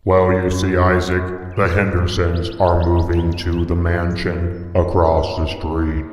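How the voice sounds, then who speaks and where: A man voicing a calm, logical robot companion in a Minecraft roleplay, explaining things in a deliberate deadpan. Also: sits low, soft background music. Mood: matter-of-fact. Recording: echoey, long reverb, distant.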